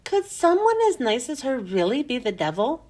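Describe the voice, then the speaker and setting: A woman's voice: high-pitched, sing-song, moderate pace, a little mellow. A woman voicing an anxious, defiant schoolgirl in a children's storybook. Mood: worried questioning. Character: defiant, anxious schoolgirl puzzling out her predicament.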